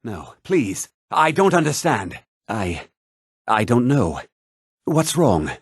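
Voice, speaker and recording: A man's voice: medium pitch, very animated, takes it slowly, breathy edge, dry recording, clean studio sound, heavily acted. A man playing a fussy, irritable and self-important meadery owner in a video game, haughty and theatrically exasperated. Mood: pleading, flustered. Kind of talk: video game character dialogue.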